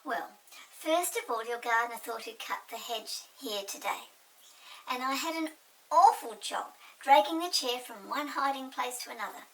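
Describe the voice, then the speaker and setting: A small, squeaky pixie chatters away in a children's storybook, cheeky and indignant. The voice is bright and swoops up and down, recorded at a distance from the mic. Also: female voice, normal speed, creaky, exaggerated voice. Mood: animated, indignant. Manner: animated, teasing, protesting. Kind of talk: children's storybook character reading.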